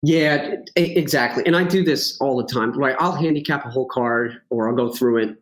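A man's voice: mid-range voice, a little fast, rough recording. Rambling man guesting on a podcast. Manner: rambling, lots of fillers and restarts, self-joking. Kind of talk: casual chat.

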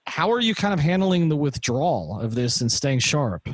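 Dry-sounding podcast host, chatty. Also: male voice, a little high, very animated, normal speed, slightly rough, dry recording. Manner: conversational, rambling questions with restarts. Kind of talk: casual podcast hosting.

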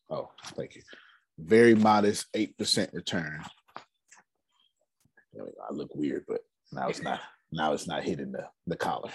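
A man in his forties giving a casual, energetic finance seminar talk, asking rhetorical questions and answering them himself. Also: deep voice, husky, no room sound, rough recording. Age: 40s. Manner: loose, energetic, repeats key points. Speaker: finance speaker.

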